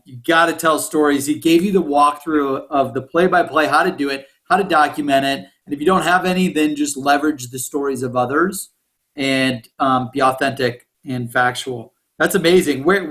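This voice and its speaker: A man hosts a casual interview podcast. His voice is a bit higher than most men's, and he speaks in an enthusiastic, slangy, affirming way. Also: a bit bright. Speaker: podcast host.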